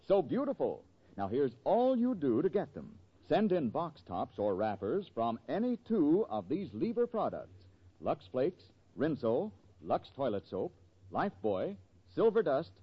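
A man announcing on 1940s radio with a polished sales pitch. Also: slightly rough. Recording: muffled vintage 1940s radio broadcast.

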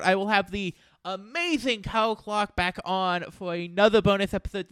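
A man's voice: high-pitched, a bit bright, clean tone, dry recording. A man hosting a podcast, talking in a chatty, informal, rambling way. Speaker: podcast host. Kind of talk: casual podcast chat.

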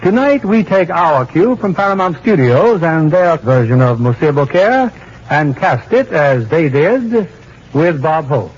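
A man's voice: fairly high pitch, big pitch swings, measured pace, breathy edge. A man hosting and narrating a 1940s radio show in a formal, showmanlike scripted style. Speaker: producer and host narrator. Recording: muffled 1940s radio broadcast with music.

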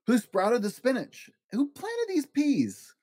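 An adult putting on an animated, curious young boy. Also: medium pitch, takes it slowly, dry recording, clean studio sound, pitched above the performer's own voice, heavily acted. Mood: demanding. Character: curious picky young boy, skeptical then delighted.

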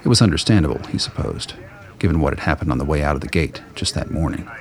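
Deep-voiced man narrating a drama. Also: lively intonation, quick pace. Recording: noise, ambient sound effects behind.

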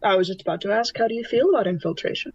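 Woman, husky voice, casual game chat, dull lo-fi microphone. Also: mid-range voice, very fast, no echo. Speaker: tabletop game player.

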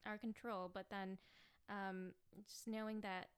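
A young woman from the Chicago suburbs, a guest in a podcast interview, speaking earnestly and reflectively with pauses. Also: mid-range voice, little pitch movement, smooth. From Chicago suburbs, US Midwest. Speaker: campaign manager, guest.

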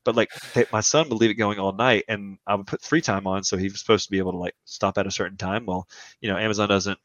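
A man's voice: lowish voice, steady tone, fast talker, a bit bright, no room sound. A man in his thirties, a podcast guest, talking in a casual, emphatic and rambling way and giving strong opinions. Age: 30s. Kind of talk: casual conversational podcast chat. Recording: dull, dry lo-fi podcast audio.

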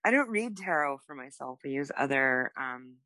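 Low-voiced, playful woman. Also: animated, normal speed, sharp tone, no echo. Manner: playful, irreverent, self-deprecating. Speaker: podcast co-host. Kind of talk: casual banter on a tarot quiz podcast.

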